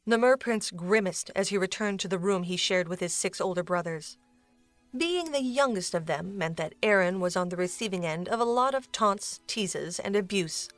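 A woman serves as narrator of a fantasy audio drama. Her voice sits at a middle pitch, delivering scripted storytelling with faint music underneath. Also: animated, moderate pace, dry recording.

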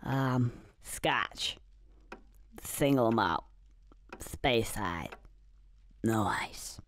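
A man's voice: mid-range voice, lively intonation, drawn-out words, no echo. An actor voicing a cheeky young male squirrel in a video game, talking in a dry, sardonic way. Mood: glum. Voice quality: wry, sardonic. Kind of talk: video game cutscene acting. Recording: game audio with loud score behind.

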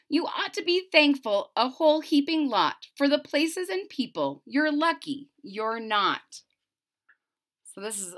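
A woman with a high, bright voice reads a picture book to children. Her delivery swings widely up and down, with theatrical stress on key words. She drops into casual, chatty asides between the verses. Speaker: storyteller reading a picture book aloud. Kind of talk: expressive children's read-aloud with casual asides. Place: small room at home.

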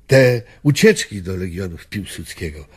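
Slow, husky, world-weary old gentleman. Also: low-pitched, animated, exaggerated voice. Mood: wistful, fervent. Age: older. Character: weary, nostalgic, disillusioned old Polish gentleman. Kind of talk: radio drama acting.

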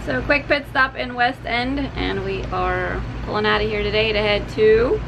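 A woman's voice: medium pitch, moderate pace, gravelly, background noise. A woman in her forties hosting a sailing vlog on a catamaran, teasing and upbeat, talking into a handheld camera. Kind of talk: casual vlog narration. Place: on a catamaran at sea.

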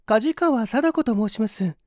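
A man's voice: extremely high pitch, lively intonation, measured pace, no echo, studio quality, pitched above the performer's own voice. A man voicing a timid, polite young woman in a story reading. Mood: polite, flustered.

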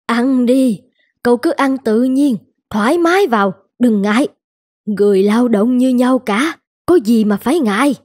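An actress playing a kindly, brisk older woman who runs a noodle shop in a cartoon, plain-spoken and reassuring. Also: on the high side, lively intonation, darkish tone, husky, dry recording, clean studio sound. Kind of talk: animated moral-tale voice acting. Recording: music under the voice.

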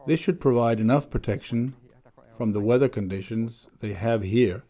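A man delivers documentary narration at an even, measured pace. His voice is mellow and dark in tone, with a steady pitch that sits in the middle of the male range. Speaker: voice-over interpreter. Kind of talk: read documentary voice-over.

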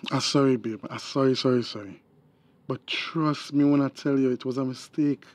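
An actor playing a smooth-talking, scheming boyfriend in a radio drama, coaxing and reassuring, with a Tobagonian accent. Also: male voice, medium pitch, steady tone, normal speed, no room sound, close to an everyday voice. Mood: coaxing, pleading.

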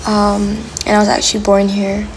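An eighteen-year-old woman who grew up in Malaysia, talking softly and hesitantly in a personal voice memo, sometimes dropping to a whisper. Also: medium pitch, completely flat delivery, normal speed, a little hiss or hum, poor audio. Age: teens. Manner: soft, hesitant, lots of ums, drops to a whisper.

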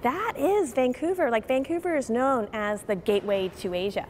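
A woman's voice: on the high side, lively intonation, moderate pace, a little mellow, slight background noise. A woman in her forties, a grocery chain executive, speaking earnestly and animatedly in a TV news interview inside a store.

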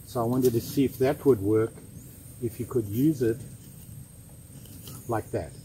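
A man in his sixties giving a relaxed, chatty hands-on knife review outdoors in the woods, with plenty of fillers and restarts. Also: mid-range voice, a little slow, slight background noise. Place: outdoors in woods, kneeling in the undergrowth.

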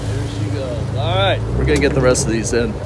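Older man vlogging over loud boat noise. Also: mid-range voice, fairly quick. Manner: chatty, relaxed, explaining as he films. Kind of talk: casual vlog narration. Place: open deck of a fishing boat at sea. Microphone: phone held by hand.

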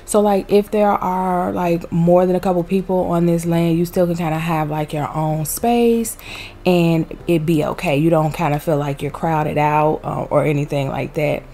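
A woman's voice: fairly low pitch, steady tone, moderate pace, mellow, slight background noise. A young woman, chatting peppily in a casual vlog voice-over recorded at home on a desk microphone. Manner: chatty, peppy, uses Southern US slang. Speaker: vlogger. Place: home room with loud heater.

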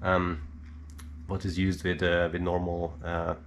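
Low-voiced man, halting and gravelly. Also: monotone, a little hiss or hum. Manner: hesitant, halting, thinking aloud with many pauses. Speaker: hobbyist presenter showing his equipment. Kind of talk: unscripted hands-on technical explanation. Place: indoor room at a cluttered worktable.

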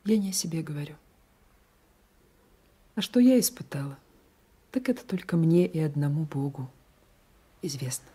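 A brooding young peasant wife in a radio play. Her voice is lowish and mellow with a husky edge, guarded and melancholy. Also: expressive, moderate pace, long pauses. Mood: bitter. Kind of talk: radio drama acting.

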